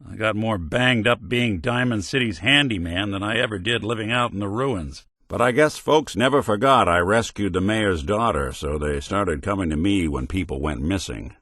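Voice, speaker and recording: Game companion: a world-weary male synth detective, dry and wry, low and expressive, close dry recording. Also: moderate pace, hardly any pauses, no room sound. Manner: dry, world-weary noir drawl, gruff when angry. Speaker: companion character. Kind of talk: game voice lines.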